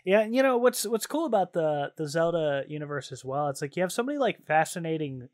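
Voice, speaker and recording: A man's voice: on the high side, expressive, brisk, darkish tone, dry recording, clean studio sound. A man chatting casually and enthusiastically as a podcast co-host, in informal slangy phrasing. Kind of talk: casual chat about games and films.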